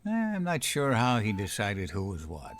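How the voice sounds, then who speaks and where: An actor in an audio drama playing a nostalgic old grandfather, gently and wistfully telling his memories to his granddaughter. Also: fairly low pitch, huge pitch swings, normal speed, a little hiss or hum. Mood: patient, explaining.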